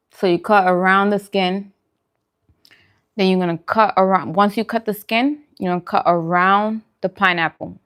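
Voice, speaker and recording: A woman's voice: medium pitch, fairly even intonation, measured pace, long pauses, fairly bright tone, smooth. A woman hosting a food channel, chatting casually and informally with viewers during a mukbang livestream.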